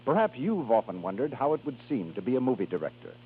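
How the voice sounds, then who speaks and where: A male radio announcer from the 1930s reads scripted continuity and advertising. His voice is slightly husky and mid-pitched, and the old broadcast sounds thin and tinny. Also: big pitch swings, fast.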